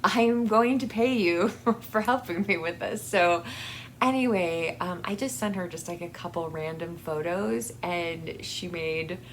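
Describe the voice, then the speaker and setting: A woman giving a casual, rambling personal update to her viewers in a halting, effusively grateful way, recorded in a basement. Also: fairly low pitch, a little hiss or hum. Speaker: book channel vlogger. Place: basement with air conditioning running.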